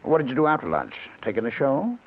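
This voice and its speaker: A man's voice: mid-range voice, huge pitch swings, quick pace. An actor playing a suspicious, tormented husband who narrates his own story in intense, melodramatic old-time radio mystery style. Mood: falsely casual. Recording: tinny old radio broadcast, music behind.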